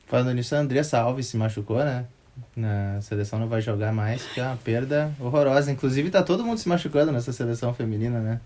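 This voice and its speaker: A man talks sport as a podcast co-host. He speaks very fast, in a jokey and opinionated way. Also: medium pitch, warm tone. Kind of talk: casual sports debate.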